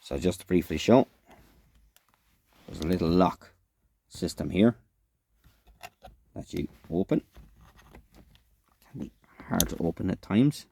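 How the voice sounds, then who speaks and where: A man giving a casual, unscripted product demonstration as a reviewer, in a halting, matter-of-fact way. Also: sits low, animated, vocal fry, noisy background.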